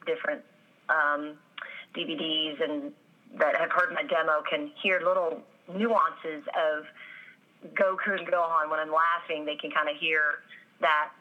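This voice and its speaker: A voice actress answering interview questions, with a slightly raspy voice and many pauses and ums. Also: female voice, low-pitched, level tone, dull audio. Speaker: voice actress guest.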